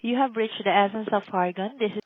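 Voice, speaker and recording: A woman's voice: mid-range voice, quick pace, breathy edge, dry recording. A woman working as a call-centre agent, speaking politely and formally in customer service phrases. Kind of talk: scripted, courteous phone service talk. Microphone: telephone call recording. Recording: tinny, narrow phone line.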